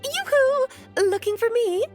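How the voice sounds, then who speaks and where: A woman's voice: very high-pitched, animated, unhurried, soft background music. A woman playing a playful, scheming, self-assured mage aunt in a video game, airy and teasing in a theatrical way. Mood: teasing, cheerful.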